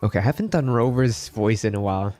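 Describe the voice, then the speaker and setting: A man's voice: lowish voice, fast, darkish tone. Casual male game streamer, music behind. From Philippines. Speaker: live streamer. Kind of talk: casual gaming livestream chat.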